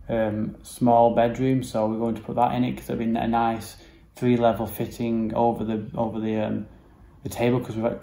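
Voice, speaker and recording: A man's voice: mid-range voice, vocal fry, dark tone. A man in his thirties giving an informal, matter-of-fact, rambling walk-through vlog narration on a handheld camera indoors. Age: 30s. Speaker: home renovation vlogger. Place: rooms of a house, indoors. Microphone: handheld camera or phone at arm's length. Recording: noticeable background noise, handheld camera indoors.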